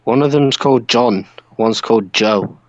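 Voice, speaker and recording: A man's voice: medium pitch, normal speed, no echo, muffled recording. A man co-hosting a podcast, chatting casually in a group about wrestling. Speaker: podcast co-host.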